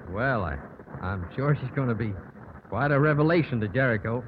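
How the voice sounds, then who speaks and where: An actor playing a genial, folksy, politely hesitant small-town attorney in a 1950s radio drama. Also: male voice, medium pitch, animated, fairly quick, close to an everyday voice. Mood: awkward, polite. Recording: scratchy, tinny 1950s radio recording.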